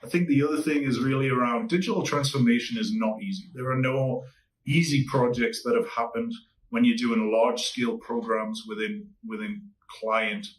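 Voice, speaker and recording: A man from England with a Newcastle accent, a consulting executive giving measured interview answers with frequent ums and restarts. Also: mid-range voice, fairly even intonation, recorded from a distance, low-quality recording. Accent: Newcastle English.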